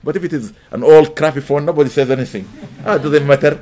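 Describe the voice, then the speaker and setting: A middle-aged man whose first language is Arabic, teaching a live audience, animated and emphatic, rising to loud peaks. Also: a little high, quick pace. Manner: animated, rising to emphatic peaks, welcomes latecomers mid-talk. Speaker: religious teacher.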